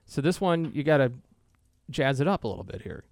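A man's voice: medium pitch, sing-song, a little fast, creaky, a little mellow, no echo. An American man in his thirties or forties hosting a sports radio show with casual banter. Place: radio studio.

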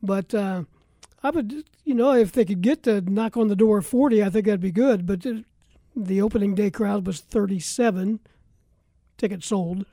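A man's voice: high voice, normal speed, warm tone, no room sound. An American man hosting a live sports talk radio show.

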